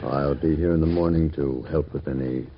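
An actor playing a quiet, formal family doctor in an old radio drama. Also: male voice, rumbling low, fairly even intonation, normal speed, highs cut off, a little hiss or hum.

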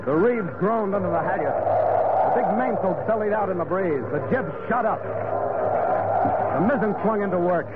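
An actor playing a hearty, wry sea skipper and reflective storyteller in an old-time radio adventure drama. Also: male voice, high-pitched, expressive, moderate pace. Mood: reflective, vivid. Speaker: lead actor and narrator. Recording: muffled vintage radio broadcast with loud music.

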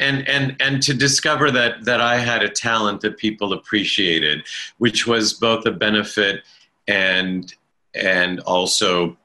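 Bright-voiced man telling stories reflectively. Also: low voice. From Italian-speaking family in America. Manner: thoughtful, halting restarts, self-deprecating humor. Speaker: interview guest, stage performer. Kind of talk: reflective conversational storytelling.

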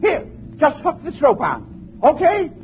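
A man's voice: very high voice, huge pitch swings, a little slow, muffled recording, exaggerated voice. An actor playing a sly, grasping rural man with a drawling delivery in 1940s-style radio comedy. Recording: noisy, band-limited old radio recording.

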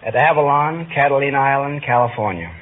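A man's voice: mid-range voice, expressive, brisk. Polite male contestant on tinny old radio. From Philippines. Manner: polite, formal replies. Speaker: quiz show contestant, lifeguard. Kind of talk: answers in an on-air interview. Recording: tinny vintage radio, faint noise.

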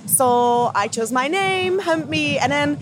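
A woman tells a story in an animated way, acting out her own reactions. Her voice sits high. Steady background noise runs under the recording. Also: takes it slowly. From Belgium. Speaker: roller derby skater. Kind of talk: casual anecdote in an interview.

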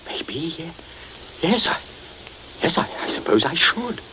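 Flustered stammering gentleman, highish excitable voice all over the place, old radio thriller, muffled. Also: measured pace. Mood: shocked, uneasy. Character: blustering, nervous gentleman, cornered and pleading. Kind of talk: 1940s radio suspense acting. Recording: muffled, noisy 1940s radio recording.

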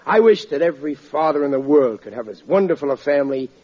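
An actor playing a blustering, sentimental working-class father in a 1940s radio comedy, with a husky voice. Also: on the high side, animated, quick pace, crowd noise, lightly acted. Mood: sentimental, contented. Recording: muffled old radio broadcast.

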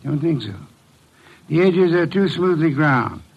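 An actor playing a pompous, exacting middle-aged detective boss in an old-time radio drama, with formal, precise diction and a gravelly voice. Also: male voice, a little high, lively intonation, unhurried, dull audio, heavily acted. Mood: deliberating.

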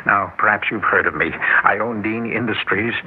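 A man's voice: on the low side, normal speed, close to an everyday voice. An actor playing a desperate, demanding wealthy businessman in an old-time radio drama. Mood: desperate, pleading. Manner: demanding, pleading, frantic, urgent. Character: desperate, imperious wealthy businessman of sixty-two who looks young. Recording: noisy, narrow-band classic radio recording.

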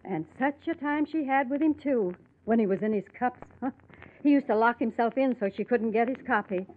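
A woman's voice: on the high side, moderate pace, lightly acted. An actress playing a chatty, nosy elderly landlady with a folksy, gossipy manner in an old-time radio drama. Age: older. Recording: band-limited, tinny vintage radio recording.

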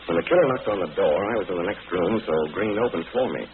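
An actor playing a composed, resolute gentleman sleuth with a brisk, suave 1940s radio delivery. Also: low voice, animated. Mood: brisk, explaining. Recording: narrow-band, faintly noisy old radio recording.

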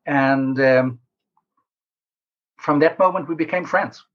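A man answering interview questions as a researcher, explaining in a measured way with pauses mid-sentence. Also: fairly high pitch. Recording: slightly dull lo-fi call audio.

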